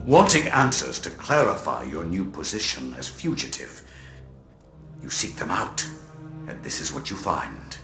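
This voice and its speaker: An actor playing a sinister, gloating inquisitor and cult leader in a video game, theatrical and menacing, with a deep, gravelly delivery. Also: male voice, huge pitch swings, measured pace, heavily acted. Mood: mocking menace. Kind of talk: scripted video game cutscene acting. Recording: echoey game audio with music.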